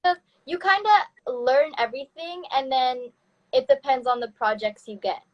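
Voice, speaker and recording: A young woman from Canada chats as host of a casual phone live stream. Her voice sits high, and she talks easily, slipping little laughs in between words. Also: normal speed, highs cut off. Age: young adult. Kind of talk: casual chat. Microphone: phone on a video live stream.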